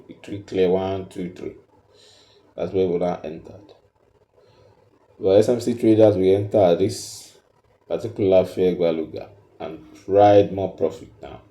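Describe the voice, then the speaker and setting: Low-voiced guy doing a tutorial, really dark soft tone, kinda halting, roomy echo. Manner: halting, repeats himself as he points things out. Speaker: trading tutor. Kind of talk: explanatory screen tutorial, step by step.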